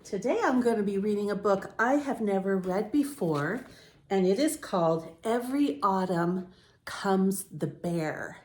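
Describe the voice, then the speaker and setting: Slow-paced woman reading to young children. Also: medium pitch, animated, a little mellow. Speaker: picture book read-aloud host. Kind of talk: read-aloud storytelling for young children.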